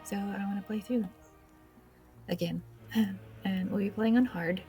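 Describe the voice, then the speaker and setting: A woman's voice: mid-range voice, steady tone, unhurried, over music. A woman hosting a gaming video with casual, awkward, self-deprecating commentary, speaking hesitantly. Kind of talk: casual let's play commentary.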